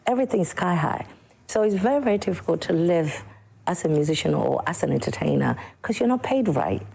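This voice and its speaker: Low, gravelly-voiced woman from Ghana. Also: lively intonation, a little fast, dark tone. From Ghana, Sekondi-Takoradi. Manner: emphatic, repeats words for stress. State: a bit slow this morning. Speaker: musician guest. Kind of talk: morning TV studio interview. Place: TV studio.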